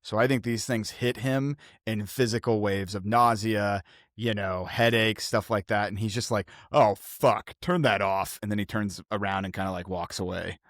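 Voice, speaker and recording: A man's voice: fairly low pitch, fairly even intonation, moderate pace, no room sound, studio quality. A man chatting casually as a player in a tabletop game, self-deprecating and prone to swearing.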